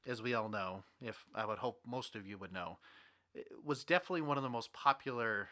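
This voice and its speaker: A young man hosting a podcast with casual, animated, rambling banter about movies and games. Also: on the low side, a little fast. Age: young adult. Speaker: podcast host.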